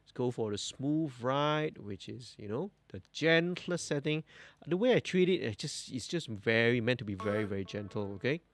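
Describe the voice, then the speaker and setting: A young man, talking through a mix on a studio livestream, relaxed and thinking aloud as he works. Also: medium pitch, normal speed. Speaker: mixing engineer host. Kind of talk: livestream mixing tutorial. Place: indoor music studio.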